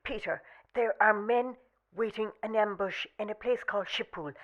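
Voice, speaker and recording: A woman's voice: mid-range voice, normal speed, muffled recording, natural voice. A woman playing a hesitant, polite informer in a radio play. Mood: nervous, secretive.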